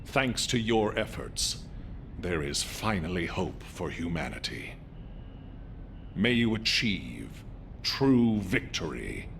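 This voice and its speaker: A man's voice: low-pitched, slow, vocal fry, slight background noise, full character voice. An actor playing a male commander leaving a final recorded message to his troops in a video game. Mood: somber. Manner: steady, heartfelt address to troops. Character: fallen male commander's recorded farewell, steady and caring. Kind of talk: video game cutscene dialogue.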